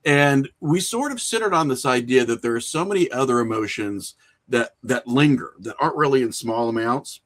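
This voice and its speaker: A man's voice: medium pitch, expressive, moderate pace. A middle-aged man talking casually and reflectively as an interview guest, telling things in an informal, anecdotal way with a bit of chuckling. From small rural town. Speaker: podcast guest, horror filmmaker and writer.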